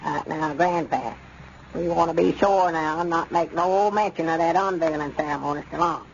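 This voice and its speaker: High-voiced, folksy old country storekeeper. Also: male voice, normal speed, creaky. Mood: hurried. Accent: rural Southern US. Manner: hesitant, stammering, folksy. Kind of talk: old-time radio comedy acting. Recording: dull, noisy old radio recording.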